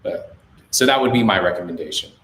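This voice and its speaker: A man's voice: deep voice, brisk, roomy sound. A young man from India with an American accent, hosting a casual live chat from his home music studio and giving friendly gear advice. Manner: relaxed, reads viewer comments aloud, then gives friendly gear advice. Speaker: livestream host, music producer.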